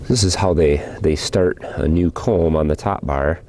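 Very deep-voiced man musing while he works. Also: noisy background. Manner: relaxed, thinking aloud with small pauses. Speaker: hobby beekeeper and channel host. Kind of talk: casual narration while working. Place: outdoors beside a wooden beehive.

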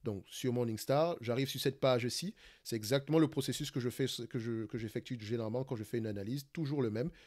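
A man with a Cameroonian French accent who hosts an investing channel, talking quickly through a live screen-share stock analysis, often restarting phrases and checking in with "d'accord?". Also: medium pitch, a little mellow. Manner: fast talker, searches for words, many restarts and "d'accord?".

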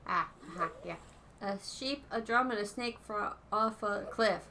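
A woman's voice: medium pitch, unhurried, sharp tone, a little hiss or hum. A woman chatting casually and telling stories while playing a board video game with her partner. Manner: chatty, giggly, storytelling. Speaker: co-player. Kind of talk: casual gaming chat. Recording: game music underneath.

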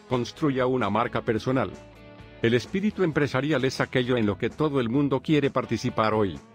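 A man narrating a scripted list of tips in an even, formal reading tone. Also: mid-range voice. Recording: faint guitar music behind.

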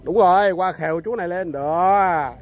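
A man, filming a night fishing vlog outdoors at a pond and commenting on the spot in brisk, repeated short commands. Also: high voice.

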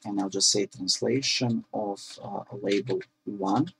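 Gravelly low man, halting tutorial explainer. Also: fairly even intonation, noisy background. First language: Croatian. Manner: halting, frequent "uh" fillers, explanatory. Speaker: programming tutorial presenter. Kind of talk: screen-recorded coding tutorial.

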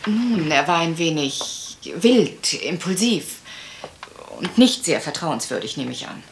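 A woman's voice: low-pitched, sing-song, slow pace, a little hiss or hum, exaggerated voice. A woman playing a troubled, wistful lady of a manor house in a radio crime drama, polite and hesitant. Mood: recounting, uneasy.

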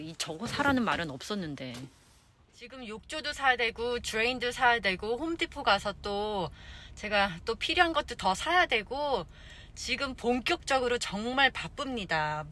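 A woman's voice: mid-range voice, noisy background. A woman in her thirties chatting casually to a handheld phone camera, exclaiming and sighing as she talks. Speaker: vlogger. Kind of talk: casual vlog chat. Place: car interior and shops. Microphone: phone camera at arm's length.

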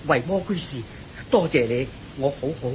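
An actor playing an earnest, naive monk bodhisattva in an admonishing manner in a radio drama. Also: male voice, medium pitch, lively intonation, normal speed, a little mellow, slight background noise, close to an everyday voice, low-quality recording. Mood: earnest, calm.

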